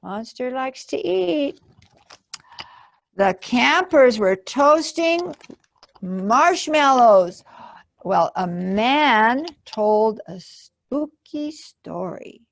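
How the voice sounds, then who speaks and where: Very slow-paced woman reading to children. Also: fairly high pitch, expressive, gravelly. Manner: simple, explanatory asides to young listeners. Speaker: library storytime host. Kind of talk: children's read-aloud storytelling.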